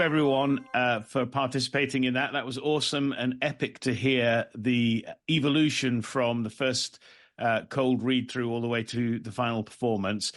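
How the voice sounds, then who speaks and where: A man's voice: medium pitch, dry recording, clean studio sound. A man hosting a podcast, interviewing guests in a conversational way. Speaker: podcast host.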